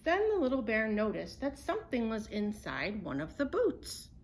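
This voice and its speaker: A woman storyteller reading a children's picture book aloud in an expressive, unhurried way. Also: on the high side, a little hiss or hum.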